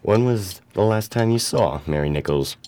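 Low-voiced polite police inspector, played by an actor. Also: male voice, lively intonation, a little mellow, clean tone, natural voice. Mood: polite, probing. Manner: courteous, measured questioning. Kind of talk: radio play acting.

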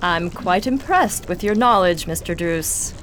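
A woman's voice: lowish voice, expressive, unhurried, fairly bright tone, slight background noise. A woman playing a cunning, self-assured villainess in a radio drama, with a poised, theatrical, insinuating manner. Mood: smooth, self-assured. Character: cunning, silky, self-assured villainess, a beauty specialist with secrets.

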